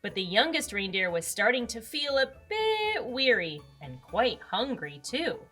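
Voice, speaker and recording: A woman with a fairly high, very lively voice narrates a children's storybook. She takes her time and leans on words for dramatic effect, with music underneath. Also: measured pace. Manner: expressive, dramatic emphasis. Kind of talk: animated read-aloud narration for children.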